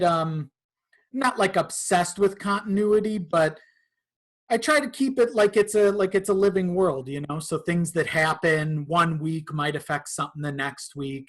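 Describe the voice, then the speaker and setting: A man's voice: on the high side, fairly bright tone. A man chatting casually and informally as a podcast guest about his own creative work, swearing now and then. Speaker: podcast guest, horror audio drama creator.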